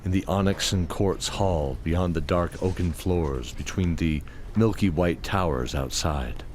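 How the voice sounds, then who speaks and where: A man reading scripted fantasy audio drama narration in a measured storytelling manner. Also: low voice, darkish tone, slight background noise. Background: music.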